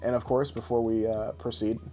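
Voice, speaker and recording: A man's voice: on the low side, little pitch movement, normal speed, warm tone, soft background music. A man co-hosting a podcast with casual, jokey, rambling showman patter. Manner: boisterous, rambling, tongue-in-cheek showman patter. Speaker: podcast co-host.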